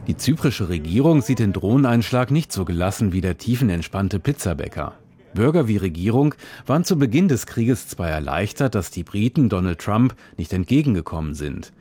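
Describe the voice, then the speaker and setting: A male radio reporter with a fairly low voice reads a scripted reportage. His delivery is measured and descriptive, like careful storytelling. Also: big pitch swings, warm tone, husky.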